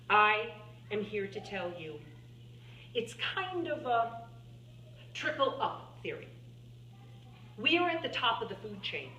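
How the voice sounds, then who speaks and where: A woman's voice: on the high side, bright, forceful tone, full character voice. A woman playing an earnest scientist and writer, giving a formal, emphatic speech to lawmakers in a dramatic monologue. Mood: pointed, indignant. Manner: deliberate, emphatic, oratorical. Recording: echoey room, distant mic, faint hum.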